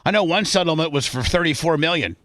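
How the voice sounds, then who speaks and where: A male radio host talks casually and bluntly, circling back over his own phrases. His voice sits a little high and is bright and forceful. The sound is close and dry. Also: lively intonation, quick pace, dry recording. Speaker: talk show host. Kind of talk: casual talk radio discussion.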